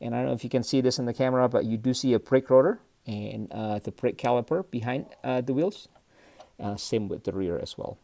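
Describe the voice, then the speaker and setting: A man's voice: mid-range voice, a little fast, creaky, warm tone, dry recording. A man from Indonesia hosting a casual, unscripted model car review, speaking hesitantly in short broken phrases and often checking in with a brief questioning tag.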